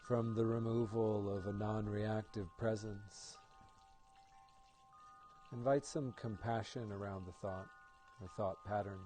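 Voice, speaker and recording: A man leading a guided meditation as an instructor, speaking in a calm, gentle and soothing manner. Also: fairly low pitch, unhurried, faint music behind.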